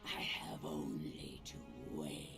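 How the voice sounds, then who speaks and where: An actor playing a huge, ancient, malevolent dragon in a video game, scornful and imperious, with a gravelly, hissing growl. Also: male voice, flat delivery, full character voice. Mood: gloating. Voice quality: deep gravelly growl, breathy hiss. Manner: slow, menacing, imperious. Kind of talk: video game villain acting. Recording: game music behind the voice.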